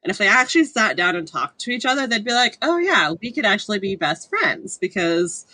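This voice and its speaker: Bright-voiced woman, wry and informal. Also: medium pitch, sing-song, fairly quick, no echo. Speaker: podcast panelist. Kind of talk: casual book discussion.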